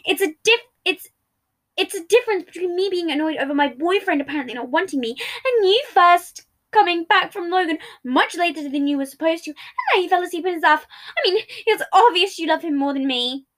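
A volatile, possessive boyfriend in an audio drama, acted by a woman. The voice is high-pitched and emotional, pressing every line as an accusation with a controlling edge. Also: animated, a little fast. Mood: hurt, yelling. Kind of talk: dramatic audio story character.

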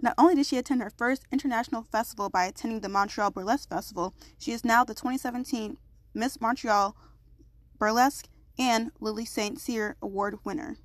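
A woman host who first reads a guest introduction and then chats casually over a remote call, bubbly and quick to laugh, a little congested. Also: on the high side, no echo. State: slightly congested. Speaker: podcast host.

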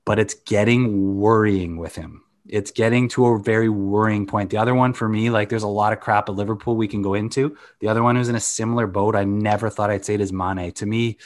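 Blunt low-voiced man. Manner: blunt, opinionated, swears casually. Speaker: podcast co-host. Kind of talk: casual sports chat.

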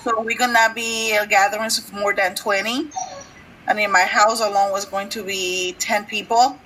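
A woman's voice: mid-range voice, steady tone, normal speed, pushed and bright, a little hiss or hum, poor audio. A woman co-hosting a podcast in casual chat with friends, emotional and laughing as she talks. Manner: emotional, laughs while talking. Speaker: podcast co-host.

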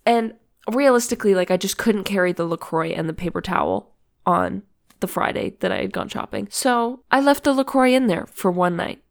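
A woman's voice: medium pitch, moderate pace, vocal fry. A woman in her twenties from Ontario, Canada, co-hosting a podcast in casual chat, her nose stuffed up, telling stories with lots of "like". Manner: chatty storyteller, frequent "like". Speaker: podcast co-host.

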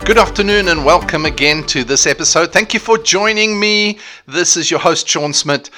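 A middle-aged man with a South African accent, hosting a solo leadership podcast in an energetic, emphatic way. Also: fairly high pitch, normal speed, soft background music. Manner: energetic and emphatic, with repetitive restarts. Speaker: podcast host.

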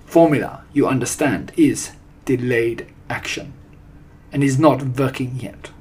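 An imperious old storybook witch, acted out by a man, declaiming with vicious relish. The voice is husky and commands in clipped, broken phrases, slow and deliberate. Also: mid-range voice, darkish tone. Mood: imperious, instructing. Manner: broken foreign-sounding grammar, commanding, declamatory. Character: disgusting old Grand High Witch, gloating, imperious child-hater. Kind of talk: storybook character voice.

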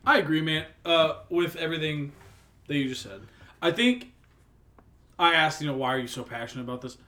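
A young man with a slightly raised pitch, co-hosting a casual sports podcast. He ribs his co-host, then talks earnestly about what makes sports stories matter. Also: brisk, sharp tone. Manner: teasing, then earnest and reflective.

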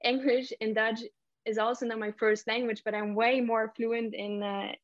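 Woman guest, slightly high voice, hesitant, dull lo-fi audio. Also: little pitch movement, moderate pace, no echo. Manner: thoughtful, hesitant with many ums. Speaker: interview guest. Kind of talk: casual interview chat.

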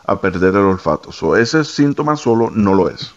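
A man with a low voice speaks as a guest doctor on a talk show, explaining health matters to callers. The sound is slightly dull and band-limited. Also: very animated, moderate pace. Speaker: guest doctor answering listeners' questions. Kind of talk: explaining medical questions in a radio talk.

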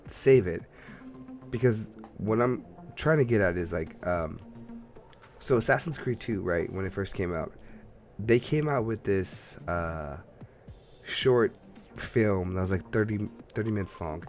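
Male podcast host, lower voice, animated and rambling, conversational, music underneath. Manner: animated, rambling storyteller who acts out imagined reactions. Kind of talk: casual podcast chat.

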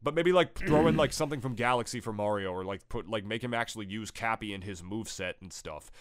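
A man's voice: fairly low pitch, quick pace. A young man with a stuffy, nasal voice from a cold, chatting casually and rambling as a podcast co-host, full of fillers and swearing. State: recovering from illness. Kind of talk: casual opinionated chat.

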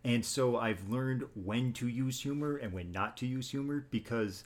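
A teacher speaking in an interview, measured and thoughtful, often restarting his sentences. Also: mid-range voice. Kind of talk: interview conversation.

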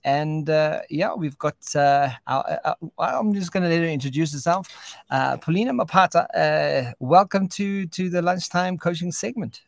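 A man's voice: fairly high pitch, creaky, no room sound. A man hosting a radio interview, stopping often with filler sounds as he talks. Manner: halting, many filler sounds. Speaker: radio show host.

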